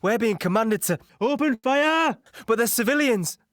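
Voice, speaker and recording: An actor playing a sombre, conscience-troubled British soldier, giving an earnest, reflective first-person account in a wartime audio play. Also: male voice, very high-pitched, expressive, moderate pace, fairly bright tone, dry recording, clean studio sound. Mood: tense, anguished.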